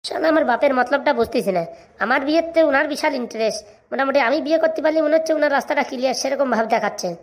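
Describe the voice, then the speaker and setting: A man voicing a hapless, grumbling young bachelor turned scrap hawker in a comic cartoon, with colloquial speech. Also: very high-pitched. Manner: complaining, colloquial, drawn-out sales calls. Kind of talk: comic cartoon dialogue and street-hawker calls.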